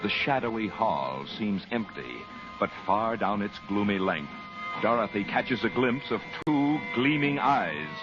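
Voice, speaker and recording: A low-voiced American man working as a 1940s radio announcer. His delivery is theatrical and deliberate, with wide swings in pitch. The old broadcast sounds dull, with faint music behind. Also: expressive.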